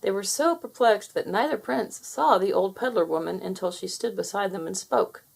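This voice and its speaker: A woman's voice: mid-range voice, moderate pace, creaky, husky. A woman narrating a children's storybook aloud, inviting young listeners to guess what happens. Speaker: storybook reader.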